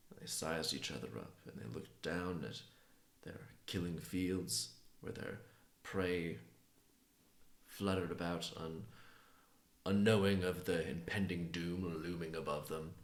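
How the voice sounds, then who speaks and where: Male storyteller, very low and creaky, feeling his way through an improvised folktale in a roomy space. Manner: halting, pausing between phrases. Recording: roomy, echoing sound.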